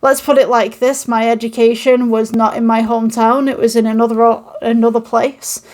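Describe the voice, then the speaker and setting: A woman's voice: a little high, flat delivery, normal speed, a little mellow. A woman from the United Kingdom with a mixed, Manchester-tinged northern English accent, talking casually to camera with many ums, recorded on an old microphone with a phone. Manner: rambling and encouraging, many ums. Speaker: channel host. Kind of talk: casual podcast-style talk to camera.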